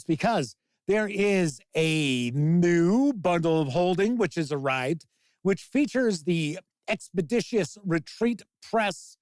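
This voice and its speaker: A man's voice: on the high side, a little mellow, no echo. A man with a Chicago accent hosting a casual livestream of tabletop gaming news, chatty and jokey as he talks with his chat. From Chicago, USA. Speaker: host.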